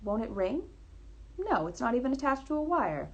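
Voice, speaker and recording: Woman reading as a keen, gravelly male detective. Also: fairly high pitch, big pitch swings, moderate pace, pitched above the performer's own voice, exaggerated voice. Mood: intrigued. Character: keen, probing male detective, brisk and confident. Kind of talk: storybook reading.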